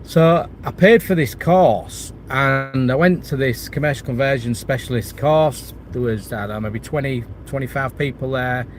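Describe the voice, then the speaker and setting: A man's voice: mid-range voice, moderate pace, few pauses, a little hiss or hum. Rambling man with a cold. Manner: rambling, many restarts and fillers, candid. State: has a cold and chest infection. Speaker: property channel host. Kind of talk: casual livestream chat reading viewer comments.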